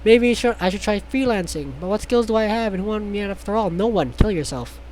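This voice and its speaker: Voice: mid-range voice, brisk, a little mellow, low-quality recording. Casual game streamer, mid-range voice, reads aloud clumsily with sarcastic asides, faint noise behind. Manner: stumbles over read text, sarcastic asides, swears. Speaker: streamer reading a visual novel aloud. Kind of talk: casual playthrough, reading game text aloud with joking asides.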